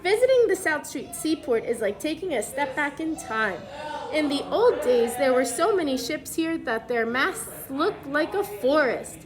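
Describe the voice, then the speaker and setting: A woman reading a picture book aloud to young children, pausing to explain things simply as a preschool teacher would. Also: high voice, very animated.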